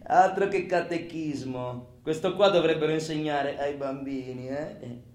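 A man's voice: on the high side, a little slow, a little mellow, lightly acted. An actor playing a menacing, taunting criminal who goads his old accomplice in a theatrical radio drama monologue, mocking and coaxing by turns. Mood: fierce, boastful. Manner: mocking, coaxing, swings between murmurs and outbursts. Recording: roomy echo, faint background noise.

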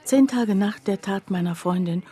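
An actress playing a sombre townswoman who recounts a friend's terrible deed in measured radio drama narration, often dropping to a hush. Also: medium pitch, close to an everyday voice.

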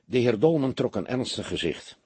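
A man reading an audiobook as narrator, in an expressive scripted style. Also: medium pitch, moderate pace, slightly rough, no echo.

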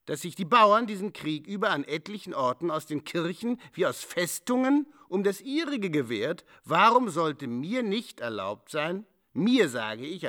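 Brazen, self-justifying soldier-bandit for radio drama; declamatory, rather high-pitched, very animated delivery. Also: male voice, exaggerated voice. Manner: declamatory, swaggering, archaic phrasing. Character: brazen, cynical male robber and soldier, scornfully self-justifying. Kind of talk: German radio play acting.

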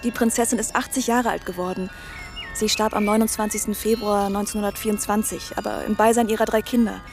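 An actress playing an earnest, expressive young woman in naturalistic radio drama dialogue. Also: medium pitch, fairly quick, close to an everyday voice. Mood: solemn, tender. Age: young adult. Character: young woman in love, earnest, devoted to books. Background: music.

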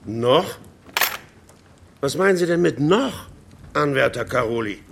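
An actor playing a brusque, mocking army doctor who barks condescendingly at young recruits in a German radio drama. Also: male voice, mid-range voice, animated, unhurried, gravelly, background noise. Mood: stern, commanding. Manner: sardonic, barking, condescending.

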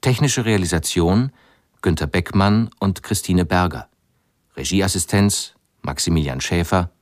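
A man reading a formal scripted announcement of radio play credits in a measured, matter-of-fact way. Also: sits low, no echo, studio quality. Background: music under the announcement.

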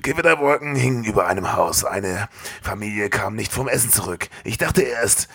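A man playing a big, rambling shark survivor who tells his tale dramatically in a trashy comedy radio play, gravelly and husky. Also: lowish voice, expressive, moderate pace, fairly bright tone, full character voice. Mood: grim, dramatic.

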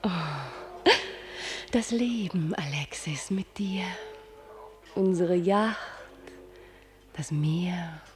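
An actress playing a dreamy, anxious female star in a German radio play, with breathy, drawn-out, theatrical phrasing. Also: fairly low pitch, a little mellow. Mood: playful, rapturous. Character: dreamy, anxious female star, kept darling, former Brooklyn dishwasher.

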